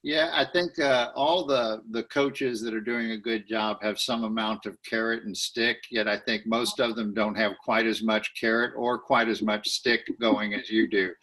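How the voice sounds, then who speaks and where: Texan guy hosting, slow and deliberate, kinda low but bright. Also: steady tone, poor audio. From Texas. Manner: slow, deliberate with many uh fillers. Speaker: interview host. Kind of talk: interview host.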